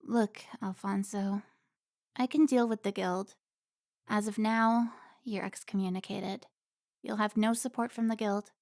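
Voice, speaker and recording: A woman's voice: mid-range voice, fairly even intonation, unhurried, darkish tone, no room sound, studio quality, lightly acted. A woman playing a stern, protective member of a vampire slayer guild in a cinematic audio roleplay, commanding and scolding.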